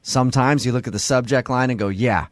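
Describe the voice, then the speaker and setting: A man's voice: mid-range voice, quick pace, no room sound. A man with an American accent hosting a talk radio show, bantering and teasing his callers. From Los Angeles, United States. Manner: joking, teasing.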